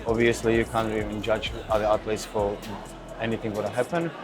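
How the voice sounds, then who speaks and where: A man's voice: lowish voice, flat delivery, a little fast. A man whose first language is Slovak, interpreting an interview in careful, plain phrasing. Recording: music playing behind.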